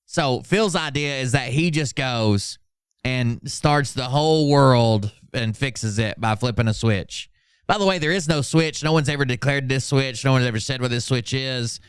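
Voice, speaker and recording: A man's voice: medium pitch, moderate pace, clean tone, dry recording, clean studio sound. A man hosting a commentary stream in a sarcastic, mocking, profane manner. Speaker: host of a commentary livestream. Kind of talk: sarcastic reaction commentary with mock-dramatic readings.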